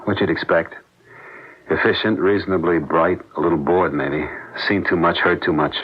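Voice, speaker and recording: An actor in a radio drama playing a concerned, reasonable husband who speaks in a gentle, coaxing way. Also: male voice, deep voice, fairly even intonation, moderate pace, slightly rough. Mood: gentle, steady. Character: concerned, reasonable husband comforting his grieving wife. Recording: muffled old radio broadcast.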